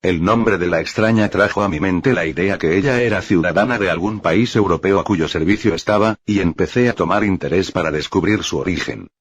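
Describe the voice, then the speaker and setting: A man reading a first-person account aloud in steady, unhurried storytelling. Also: low-pitched, husky, no room sound. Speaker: narrator. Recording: dull lo-fi dry recording.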